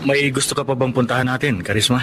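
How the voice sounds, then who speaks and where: Jealous attentive young boyfriend played by an actor, medium voice, radio drama, noisy background. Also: male voice, mid-range voice, quick pace, natural voice. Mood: attentive, uneasy.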